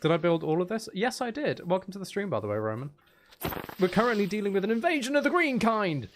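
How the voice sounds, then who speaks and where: A man's voice: sits high, very animated, quick pace, background noise. A man giving casual live commentary while streaming a video game, muttering wry, exasperated asides to himself.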